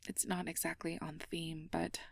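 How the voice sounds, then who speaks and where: A woman playing a stressed, self-blaming young air mage who confides haltingly and half-whispered in an intimate ASMR role-play. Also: deep voice, flat delivery, gravelly, dry recording, clean studio sound. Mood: anxious, weary.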